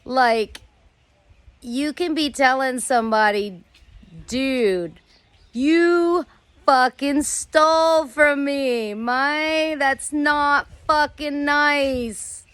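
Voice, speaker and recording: An American woman telling rambling personal stories casually as a host, in a drawn-out way with some swearing. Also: high voice, a bit bright, dry recording. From United States. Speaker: host of a personal storytelling show.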